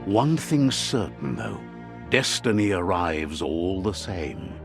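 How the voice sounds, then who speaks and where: Low-voiced, lively male storyteller. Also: music in the background. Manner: measured storytelling, wise. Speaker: narrator. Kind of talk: scripted game narration.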